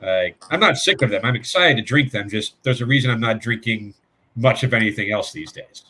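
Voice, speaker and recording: Easygoing man with a bright voice. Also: mid-range voice, brisk, a little hiss or hum. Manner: easygoing, self-mocking. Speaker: co-host. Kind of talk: casual online show banter.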